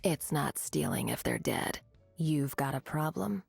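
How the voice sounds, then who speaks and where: A woman voicing a cocky, greedy mercenary desert warrior in a video game, husky and gravelly, with dry, sardonic delivery. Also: deep voice, lively intonation, a little slow, darkish tone, no room sound, soft background music, heavily acted. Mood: cool, sardonic. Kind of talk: video game character voice lines.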